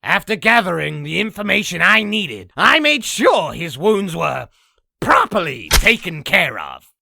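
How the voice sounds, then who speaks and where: Croaking, raspy old demon storyteller. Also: male voice, high voice, very animated, a little slow, pushed and bright, no room sound, studio quality, exaggerated voice. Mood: boastful. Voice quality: raspy, gravelly. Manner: theatrical, grandiose storytelling. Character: sinister boastful old demon spinning tall tales. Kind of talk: comic dub villain acting.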